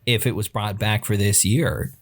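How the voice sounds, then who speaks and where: A young man in his twenties with a fairly low voice trades jokes in a loose podcast conversation. He talks casually and is quick to laugh. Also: steady tone. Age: 20s. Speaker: podcast co-host. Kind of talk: casual chat.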